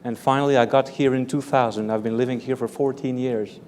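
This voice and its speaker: A man's voice: medium pitch, fairly even intonation, brisk, darkish tone. A man from Belgium, a native French speaker, answers questions carefully, often repeating words as he builds his point. His voice carries the long reverb of a large hall, with faint room noise underneath. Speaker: author and professor. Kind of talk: guest interview answers. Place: large hall with live audience.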